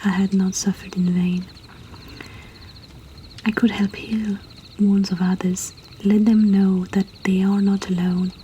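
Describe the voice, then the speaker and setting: A woman reading poetry and stories in a breathy whisper, in a soothing, hushed ASMR style. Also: mid-range voice, level tone, a little hiss or hum. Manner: slow, soothing, hushed delivery. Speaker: poetry reader and host.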